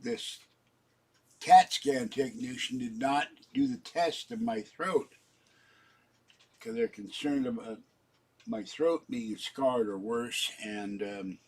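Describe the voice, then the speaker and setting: A middle-aged man from Pittsburgh talks to his vlog viewers in a meandering, chatty way. His voice is husky and sits at an average pitch. He clears his throat often and signs off warmly. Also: takes it slowly, long pauses. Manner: meandering, chatty, warm sign-offs to viewers. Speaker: vlogger. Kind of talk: casual rambling vlog chat.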